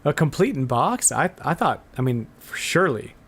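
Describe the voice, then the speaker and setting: A man's voice: fairly high pitch, very animated, normal speed, fairly bright tone, husky. A man hosting a podcast, chatting casually and informally about retro video games. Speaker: podcast host.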